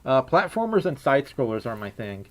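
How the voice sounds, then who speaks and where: A man's voice: mid-range voice, sing-song, brisk. Giggly young man with a scratchy voice. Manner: playful and giggly, hums idly between chat messages. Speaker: streamer. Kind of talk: casual livestream chat reading donations.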